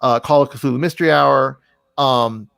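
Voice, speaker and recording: A man with a mid-pitched, gravelly creak in his voice hosts a casual interview. He talks effusively and emphatically, rambling from thought to thought. The sound is very dry. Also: moderate pace, a bit bright, no echo. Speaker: podcast host and interviewer. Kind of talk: casual, enthusiastic interview chat.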